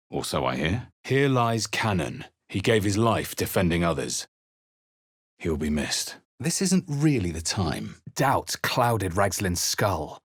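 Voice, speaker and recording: Video game adventurer: deep, rough-edged and close-recorded, watchful and wry, narrating with measured drama. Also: male voice, no room sound, full character voice. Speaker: player character voice in a video game. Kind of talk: scripted game narration, barks and spell incantations.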